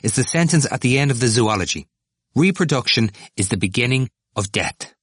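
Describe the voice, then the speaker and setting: A man voicing an excitable, boastful young male student in an audiobook, eager and insistent, easily wounded. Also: medium pitch, fairly quick, dry recording, studio quality, pitched above the performer's own voice. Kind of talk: audiobook character voice.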